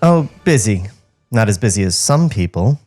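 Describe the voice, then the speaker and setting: A man's voice: on the low side, sing-song, moderate pace, darkish tone, soft background music. A man playing a cool, guarded young woman costumer in a comedy table read, with a breezy, polite manner.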